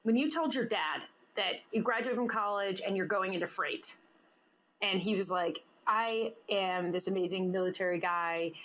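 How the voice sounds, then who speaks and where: Bubbly lady host teasing her guest, mid pitch, bit of hiss in the background. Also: a bit bright, poor audio. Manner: bubbly, teasing, quick to laugh. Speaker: podcast host. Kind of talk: casual interview chat.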